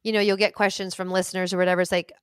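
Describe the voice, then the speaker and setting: A young woman co-hosting a podcast over a remote call, giving relaxed advice in a chatty way full of fillers and "like". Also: lowish voice, rapid-fire, clear, resonant tone, no echo, clean studio sound. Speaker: podcast co-host, intimacy coach. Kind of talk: casual advice chat. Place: small room at home. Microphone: studio mic on stand, headphones, remote call.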